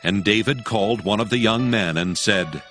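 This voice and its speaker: A man narrating scripture from a script in a solemn, measured storytelling manner. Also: on the low side, fairly bright tone. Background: music.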